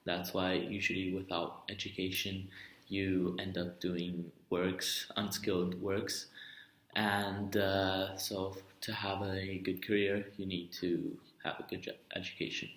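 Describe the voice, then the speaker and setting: A man from Italy, answering interview questions deliberately with small slips. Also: sits low, little pitch movement, a bit bright. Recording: echoey room.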